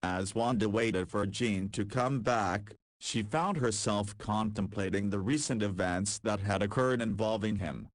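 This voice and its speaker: A male narrator reading a visual novel in an even, measured way. His voice is low. The recording is dry. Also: no echo. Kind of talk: scripted storybook narration.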